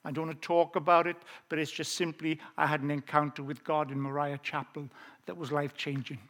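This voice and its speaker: Welsh male preacher, high voice, earnest testimony delivery, lingers on key lines. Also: level tone, a bit bright. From South Wales. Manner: earnest storytelling, slowing down on key phrases. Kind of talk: testimony sermon.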